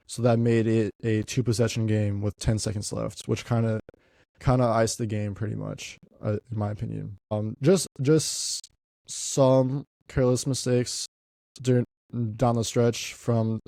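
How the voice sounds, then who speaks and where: A man's voice: on the low side, dark tone, dry recording. A young man hosting a podcast, talking casually about basketball, congested and stuffy, often repeating words as he goes. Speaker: podcast host.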